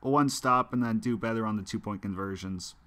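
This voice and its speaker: A man's voice: lowish voice, fairly quick, fairly bright tone. A young American man streaming a mobile football game, chatting with viewers in a rambling way with lots of fillers and restarts. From United States. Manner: chatty, talks to viewers while playing. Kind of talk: casual gameplay stream chat.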